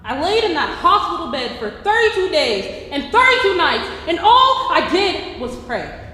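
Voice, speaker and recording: A high, sweeping, impassioned voice rings through a very echoey hall. A young woman performs an anguished, defiant son pleading in a stage drama, with faint noise around the words. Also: sing-song, moderate pace, pushed and bright, heavily acted. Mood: indignant, anguished. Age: young adult. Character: Wendell, anguished, defiant ailing adult son confronting his mother. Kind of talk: dramatic stage monologue reading. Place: staged reading in a large echoey room.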